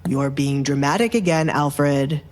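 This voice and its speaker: A woman voicing a stern, commanding young duke with a clipped, deadpan manner in a dramatized audio romance. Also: deep voice, measured pace, pitched below the performer's own voice. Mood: dry, unbothered. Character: stern, commanding young duke, coolly teasing his butler.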